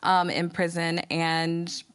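A woman's voice: lowish voice, slow pace, clear, resonant tone, no echo. A woman hosting a podcast, chatting casually with slang and sass, reading ads and giving her opinion. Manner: sassy, animated commentary with slang. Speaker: podcast host. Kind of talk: casual podcast talk with ad reads.

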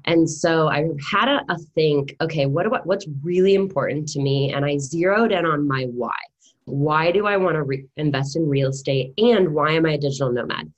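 A woman's voice: sits low, moderate pace, highs cut off. A woman in her thirties with an American accent, speaking as a podcast guest in a conversational storytelling style. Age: 30s. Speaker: podcast guest, real estate investor.

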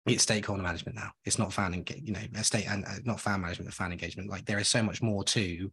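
A man's voice: sits low, steady tone, rapid-fire, fairly bright tone, breathy edge. A man co-hosting a podcast in casual conversation, enthusiastic and rambling, speaking straight to his listeners. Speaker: podcast co-host.

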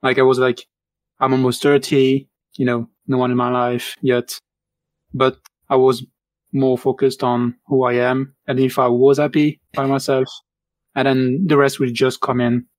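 Calm, reflective man in his twenties, dry sound. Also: mid-range voice, unhurried, no room sound. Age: 20s. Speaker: podcast guest. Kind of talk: casual podcast chat.

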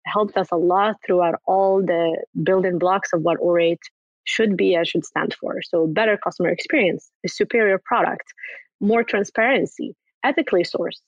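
A woman's voice: mid-range voice, normal speed, no room sound, muffled recording. A woman in her thirties from Morocco, a company co-founder answering interview questions in a talkative way and leaning on "basically". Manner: talkative, repeats words and fillers like "basically".

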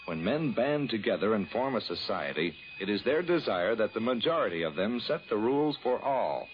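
Solemn low-voiced radio announcer. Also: male voice, very animated, moderate pace. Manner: solemn, declamatory. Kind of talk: scripted 1960s public-service radio narration. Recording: muffled old radio recording, faint noise.

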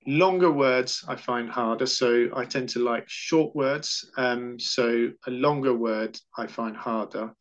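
A man's voice: medium pitch, slow, hardly any pauses, lo-fi sound. A man with a stammer, answering questions in a casual interview, repeating syllables and words as he talks.

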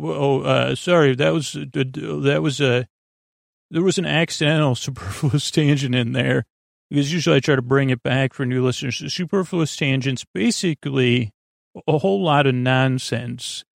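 A man hosting a sleep podcast in a meandering, halting, absent-minded monologue with a gravelly, creaky voice. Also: mid-range voice, no room sound, studio quality. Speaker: podcast host.

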